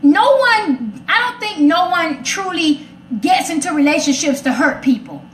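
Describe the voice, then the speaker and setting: A woman with a high voice hosts a casual, personal talk. She is blunt and opinionated, driving her points home through repetition. The room rings with echo, and there is faint noise behind her. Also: animated, a little slow, a bit bright. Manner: direct, opinionated, emphatic repetition. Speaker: talk show host addressing live comments.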